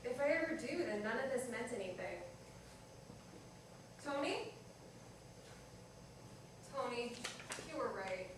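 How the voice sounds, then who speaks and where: A woman's voice: on the high side, animated, takes it slowly, pauses often. Lady acting regretful waitress in a play reading, far from mic, lots of echo and noise. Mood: regretful, resolute. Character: wistful, resolute waitress, a woman with regrets. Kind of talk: staged reading of a drama. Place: indoor stage reading at an arts venue. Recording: very echoey noisy room, distant mic.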